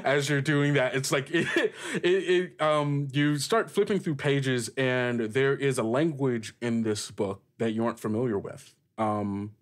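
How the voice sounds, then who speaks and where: A man working as game master, improvising tabletop narration in a halting way as he searches for words. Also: mid-range voice. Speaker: game master, podcast host.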